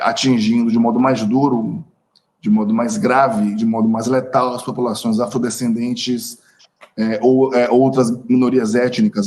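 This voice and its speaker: A man introducing a guest at an online academic seminar, halting often with fillers and self-corrections. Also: medium pitch, a little mellow. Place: video call.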